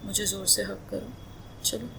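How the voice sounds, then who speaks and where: A woman playing a loving, playful wife in a romantic roleplay ASMR, speaking softly and breathily in an intimate, coaxing manner, often slipping into whispers. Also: medium pitch, steady tone, unhurried, vocal fry, background noise. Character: loving, emotional, playful young wife doting on husband.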